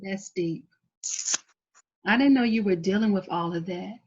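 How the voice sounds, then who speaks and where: A woman's voice: mid-range voice, a little slow, noisy background, close to an everyday voice. An actress reading a proud, driven twenties woman, blunt, slangy and defensive, in a TV drama table read. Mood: confessional, upset. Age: young adult. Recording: dull lo-fi recording.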